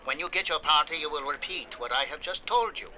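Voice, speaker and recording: Man acting officious censor, forceful cutting tone, raised pitch, formal orders, old radio drama, slight hiss. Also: brisk, poor audio. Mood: stern. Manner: clipped, formal, commanding. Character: stern, officious wartime telephone censor.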